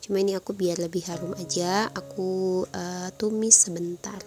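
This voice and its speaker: A woman's voice: on the low side, little pitch movement, moderate pace, a little mellow. A woman with a nasal, congested voice from a cold, casually explaining a recipe step by step in a voice-over. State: stuffy nose from flu. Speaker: home cook presenting a recipe. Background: background music.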